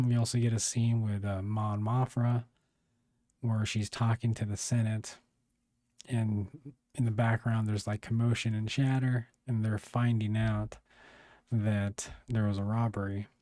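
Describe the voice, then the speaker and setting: A man with a hoarse, failing voice reviewing a TV episode in a casual, rambling way, often clearing his throat. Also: lowish voice, level tone, a little slow, warm tone, dry recording. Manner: rambling, many fillers and restarts. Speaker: video reviewer.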